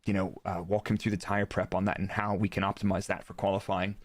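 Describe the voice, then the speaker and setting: A man's voice: low-pitched, little pitch movement, fast talker, no room sound. An American man giving a casual technical explanation as a guest expert, acting out bits of dialogue as he goes. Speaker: guest, former Formula 1 performance engineer.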